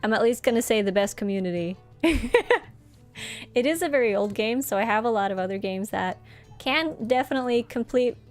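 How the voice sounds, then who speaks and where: A woman's voice: a little high, a little mellow. Chatty Norwegian woman streaming a game. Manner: chatty and friendly, laughs often. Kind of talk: casual live-stream chat, reading chat aloud.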